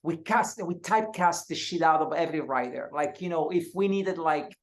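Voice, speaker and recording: Italian-accented male interviewer, halting. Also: on the high side, lively intonation. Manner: halting, many fillers and restarts. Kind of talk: casual interview.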